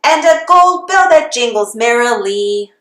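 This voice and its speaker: A woman's voice: fairly high pitch, lively intonation, measured pace, a bit bright, echoey room. A woman reading a children's storybook aloud in the sing-song manner of a Christmas carol. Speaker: storybook reader.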